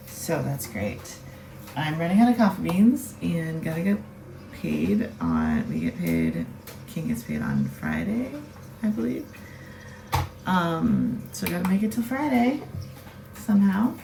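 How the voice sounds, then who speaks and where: A woman's voice: lowish voice, gravelly, echoey room, distant mic. A woman, a mother of two, chatting casually and rambling a bit as she makes coffee in her kitchen. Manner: rambling, chatty, self-deprecating. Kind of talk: casual chat. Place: home kitchen, indoors. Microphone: camera set at a distance.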